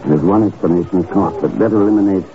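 A man playing a calm, shrewd secret agent in a 1950s radio drama, speaking in a clipped, questioning manner. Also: deep voice, fast talker, background noise, close to an everyday voice. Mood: cool, probing. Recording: muffled old radio recording, music.

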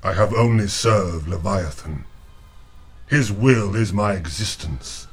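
A man's voice: low-pitched, animated, slow, husky, heavily acted. An actor playing a stern, devout male keeper of a demonic order.

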